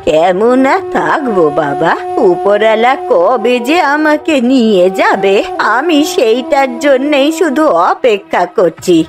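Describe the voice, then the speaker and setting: A woman voicing a frail, ailing old aunt in a cartoon, weary, slow and pleading. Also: a little high, sing-song, over music. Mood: weary, resigned. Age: older. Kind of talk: cartoon voice acting.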